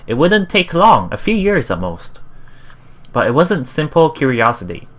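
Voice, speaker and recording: A let's play host reading a visual novel aloud in a halting way, with casual, musing commentary. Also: mid-range voice, big pitch swings, a bit bright. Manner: halting, musing, repeats phrases.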